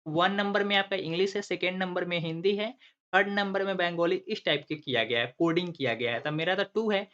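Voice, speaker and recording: A man's voice: sits high, a bit bright. Male teacher repeating every step, lo-fi. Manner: asks himself questions and repeats the answers like a teacher. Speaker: exam coaching teacher and channel host. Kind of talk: screen-recorded tutorial explaining step by step. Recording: dull lo-fi recording.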